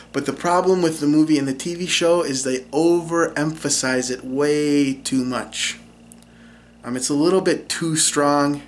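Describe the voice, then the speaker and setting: Man with a central Minnesotan accent. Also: on the high side. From Minnesota, USA. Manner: relaxed and explanatory, frequent "um" and "you know". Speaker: linguist and YouTube English teacher. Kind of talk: casual talk to camera.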